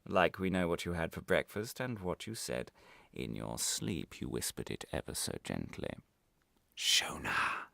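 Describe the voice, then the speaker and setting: A man playing a menacing state interrogator in a radio play, silkily polite, gloating and possessive, teasing with drawn-out pauses and questioning hums. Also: a bass voice, sing-song, creaky, bright, forceful tone, dry recording, pitched below the performer's own voice, exaggerated voice. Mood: insinuating.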